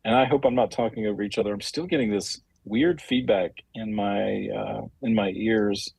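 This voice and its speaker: A man hosting a livestream, talking off the cuff and reading out viewer comments in a halting, apologetic way. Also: fairly low pitch, steady tone, gravelly, darkish tone, slightly rough, no echo, poor audio. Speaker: livestream host, comic creator.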